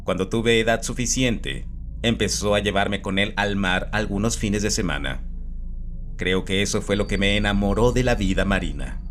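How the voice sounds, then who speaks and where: A man calmly reading a scripted first-person horror story, steady and measured. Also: lowish voice. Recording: soft background music.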